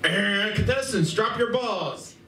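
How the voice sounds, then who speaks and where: A man's voice: high voice, lively intonation, slow pace, fairly bright tone, full character voice. A man playing an earnest assistant game coordinator in a radio play, doing sports-style commentary. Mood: earnest commentating. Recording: distant, echoey room with faint noise.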